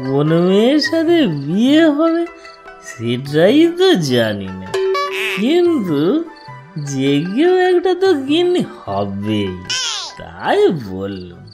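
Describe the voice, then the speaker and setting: A woman's voice: deep voice, huge pitch swings, takes it slowly, music in the background, full character voice. A woman voicing a dim, cheerful drunk bachelor in a cartoon comedy, naive and sing-song. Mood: chatty, breezy.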